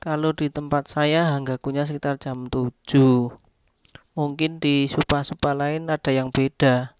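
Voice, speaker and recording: A vlogger narrating casually in short, halting phrases, recorded indoors close to a phone. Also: medium pitch, fairly even intonation, smooth. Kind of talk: casual vlog narration over close-up shots.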